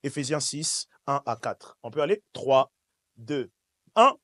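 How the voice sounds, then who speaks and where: A man's voice: medium pitch, lively intonation, a little slow, sharp tone, no echo. A man from Switzerland preaching a sermon, emphatic and repetitive, addressing his congregation directly. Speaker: pastor, preacher. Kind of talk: sermon with call and response. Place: church service, speaking to congregation.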